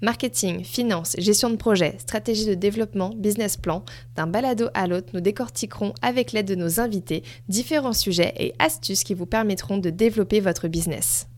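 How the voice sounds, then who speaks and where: A woman reading a scripted podcast introduction in an even, read-aloud way. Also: mid-range voice, fairly quick, hardly any pauses.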